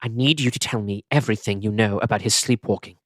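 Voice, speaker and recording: A man voicing a stern woman high priestess who speaks in a commanding, defensive manner. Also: fast, no room sound, studio quality, natural voice. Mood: urgent.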